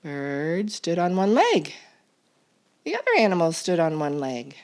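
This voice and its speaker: Children's storytime reader, female: lowish voice, lively intonation, deliberate picture-book narration. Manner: deliberate, expressive, child-directed.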